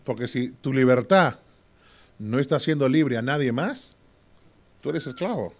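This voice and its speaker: A man teaching a Bible class in a preaching style. He often asks the class to agree and moves between loud and hushed delivery. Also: medium pitch, expressive, unhurried. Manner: swings from loud to hushed, with short laughs.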